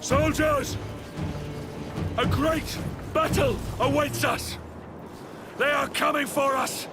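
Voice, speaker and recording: High, shouting Roman battlefield commander. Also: male voice, fairly even intonation, slow pace, full character voice. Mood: rallying, urgent. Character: rallying Roman army commander, fierce and resolute. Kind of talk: cinematic video game acting. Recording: very loud music and battle din behind.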